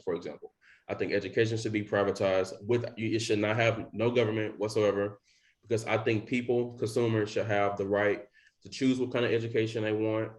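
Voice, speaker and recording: A man's voice: lowish voice, darkish tone. A young man hosting a podcast in casual chat, rambling with lots of fillers. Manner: rambling with many likes and you knows. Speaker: podcast host.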